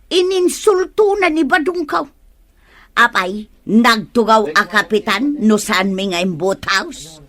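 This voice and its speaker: A woman's voice: on the high side, expressive, slow, a bit bright. An actress playing an indignant, gossipy, opinionated village woman in a radio comedy drama, with an Ilocano Filipino accent.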